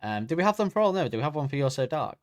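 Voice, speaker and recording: A man's voice: medium pitch, sing-song, racing. A man co-hosting a podcast, chatting casually and reading facts from notes while correcting himself as he goes. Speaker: podcast co-host.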